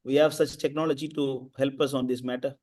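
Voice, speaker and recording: A man leading an online spiritual session, giving gentle, encouraging advice and repeating his key points. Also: mid-range voice, flat delivery, brisk. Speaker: devotee counselor leading the session. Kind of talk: casual spiritual advice on a call.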